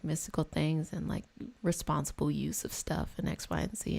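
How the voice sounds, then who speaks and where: Low, creaky, mellow woman guiding gently. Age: adult over 25. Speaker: reiki practitioner and session host. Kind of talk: ASMR guided healing session with casual chat.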